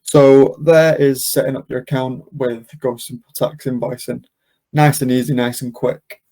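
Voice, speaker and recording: Mellow-voiced man giving a software walkthrough. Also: medium pitch, little pitch movement, a little slow. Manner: casual, step-by-step explaining. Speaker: webinar host and software demonstrator.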